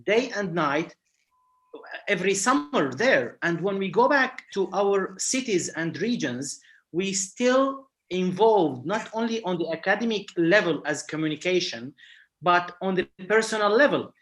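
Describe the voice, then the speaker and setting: Man, Syrian Arabic accent, halting, video call panelist. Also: high-pitched, expressive, a bit bright. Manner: halting, searching for words. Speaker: archaeologist panelist.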